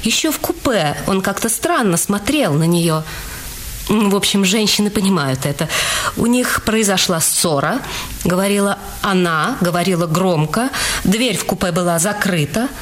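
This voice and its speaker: A woman playing a composed, slightly guarded female witness in a radio drama, speaking in a measured, reflective way with some hesitation. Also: mid-range voice, animated, slight background noise, natural voice. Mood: composed, hesitant. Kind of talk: audio drama narration and dialogue.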